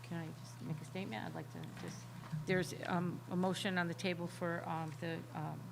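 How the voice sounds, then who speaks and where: A woman board member with a loud voice, speaking into a table microphone at a public meeting, hesitant and full of fillers. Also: on the low side, little pitch movement, fairly quick, vocal fry. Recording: table mic with background noise.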